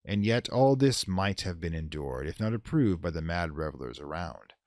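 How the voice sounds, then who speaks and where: A deep-voiced man gives a dramatic reading of gothic horror. His delivery is solemn and theatrical, swelling and falling widely in pitch with measured pauses. The sound is close and dry. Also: lively intonation, darkish tone, dry recording. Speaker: narrator.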